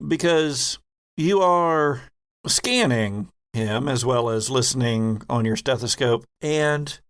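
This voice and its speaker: A man hosting and narrating a tabletop game as game master, dramatic and deliberate, with suspenseful pauses. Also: mid-range voice, a bit bright, smooth, no room sound, studio quality.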